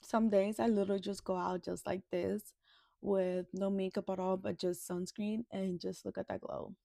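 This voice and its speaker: A woman's voice: medium pitch, level tone, normal speed, creaky, mellow, dry recording. Chatty young woman vlogger with dry sound. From Dominican Republic. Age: 20s. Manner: chatty, rambling. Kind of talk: casual get-ready-with-me chat.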